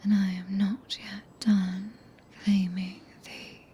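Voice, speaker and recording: A woman with a British accent playing a tender, possessive, regal witch in a soft breathy ASMR whisper, with archaic, stately phrasing. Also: medium pitch, flat delivery, slow, a little hiss or hum, close to an everyday voice. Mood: tender, commanding. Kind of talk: ASMR roleplay. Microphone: close binaural microphone.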